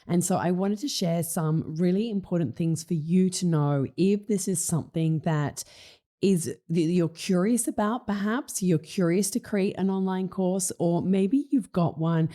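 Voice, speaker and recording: A middle-aged woman hosting her own podcast, talking in a casual, enthusiastic way with a slightly croaky voice and plenty of fillers. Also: lowish voice, a little slow, warm tone. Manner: enthusiastic, conversational with many fillers. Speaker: podcast host. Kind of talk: casual solo podcast talk.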